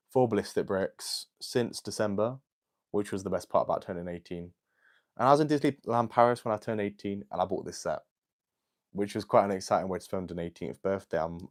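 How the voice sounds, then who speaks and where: An eighteen-year-old man hosting a casual livestream, chatting and answering viewer comments with lots of "like" and "um". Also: sits low. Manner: chatty and rambling, with many "like" and "um" fillers.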